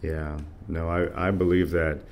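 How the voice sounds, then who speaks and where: An American man conducting a casual interview, effusive like a fan, with hesitant, halting phrasing. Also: sits low, level tone, a little mellow.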